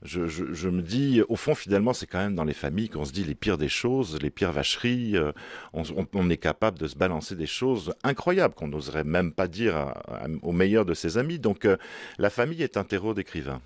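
A man speaks spontaneously as an interviewed novelist, halting and repeating words as he thinks. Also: sits low, sing-song, no room sound.

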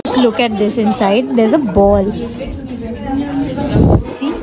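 A young woman vlogging casually and excitedly to a phone held at arm's length, with lots of fillers. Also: a little high, takes it slowly. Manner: chatty, excited, many fillers. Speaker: travel vlogger. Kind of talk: casual travel vlog. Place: dim themed cafe indoors, then busy street outdoors. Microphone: handheld phone at arm's length. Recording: loud crowd chatter behind.

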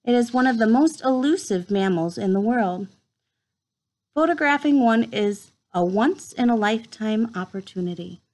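A woman in her thirties reading a children's nature book aloud in an expressive storytime manner, recorded at home. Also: on the high side, normal speed. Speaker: read-aloud host. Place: small room at home, indoors.

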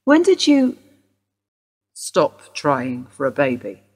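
A brisk woman detective. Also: sits low, wildly swooping pitch, heavily acted. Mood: formal. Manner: brisk, formal, probing. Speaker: police detective. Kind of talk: radio play acting.

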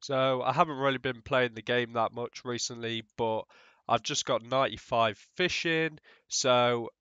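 A man's voice: medium pitch, fairly even intonation, unhurried, a bit bright, clean tone, no room sound, highs cut off. A young man with a cold, sounding nasal, giving rambling casual commentary over his game footage. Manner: rambling, many ums and restarts, swears casually. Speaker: gaming YouTuber.

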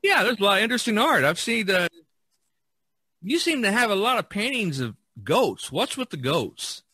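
Lively, bright-voiced man hosting casually. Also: high voice, normal speed, slightly rough, dry recording, studio quality. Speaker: podcast host interviewing artists. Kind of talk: casual play-acted interview.

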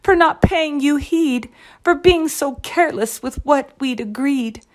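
A woman's voice: high voice, very animated, slow, fairly bright tone, voice pushed up, exaggerated voice. A woman voicing eager, childlike little chicks with a chirping manner in a storybook. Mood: sorry. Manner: chirping, squealing, pleading.

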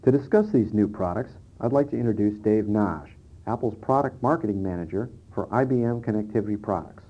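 A man's voice: fairly low pitch, fairly quick, soft background music. A man presenting scripted instructional material on an old cassette tape. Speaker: presenter and interviewer. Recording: dull early-1990s cassette tape transfer.

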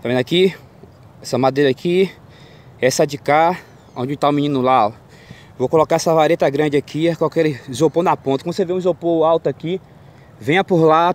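A young man, a fishing vlogger, gives casual spoken directions outdoors at a river mouth, recorded on a worn action camera, often tagging his sentences with "ó" and "viu?". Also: fairly high pitch. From Brazil. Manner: pointing out a route, repeating "ó" and "viu?". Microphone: action camera worn on the body.

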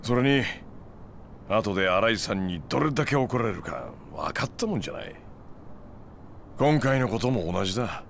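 A man's voice: fairly low pitch, lively intonation, normal speed, creaky, long pauses, a little hiss or hum. An actor playing a smug, laid-back male moneylender in his thirties, lecturing gangsters in a glib, needling way in a game cutscene. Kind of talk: video game cutscene acting.

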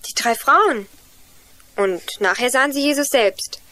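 Earnest young girl, high voice. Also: a little slow, bright, forceful tone, no echo. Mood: determined. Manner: eager, explanatory storytelling. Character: Juliane, earnest young girl lost in forest. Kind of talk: 1980s children's radio play acting.

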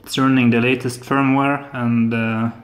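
A man's voice: mid-range voice, flat delivery, unhurried. A man in his thirties from Norway with a Norwegian accent, showing a hobby electronics build at home in a hesitant way with lots of filler sounds. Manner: hesitant, many filler sounds, soft-spoken. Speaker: hobbyist maker. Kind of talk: casual tech demo. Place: apartment living room and kitchen, indoors. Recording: echoey room.

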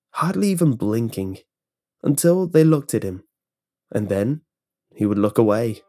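A man plays a wry older male co-worker in a horror story, gossiping in a conversational, rambling way. His voice is mellow and mid-pitched, with faint music behind it. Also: natural voice. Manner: casual, conversational, rambling recollection.

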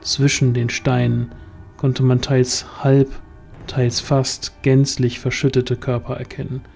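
A man's voice: medium pitch, level tone, takes it slowly, gravelly, darkish tone, faint music behind. A man narrating a scripted fantasy audio drama as its storyteller.

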